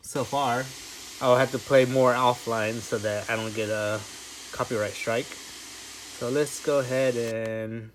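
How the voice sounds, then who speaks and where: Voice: mid-range voice, steady tone, slow. A product reviewer giving casual unboxing commentary, informal and thinking aloud. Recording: clearly noisy home recording with clicks.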